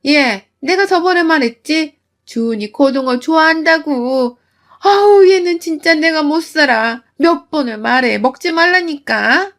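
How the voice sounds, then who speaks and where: Woman doing a nasty nagging mother-in-law, shrill high voice, sneery and scolding. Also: lively intonation, measured pace, fairly bright tone, full character voice. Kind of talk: storytelling with acted voices.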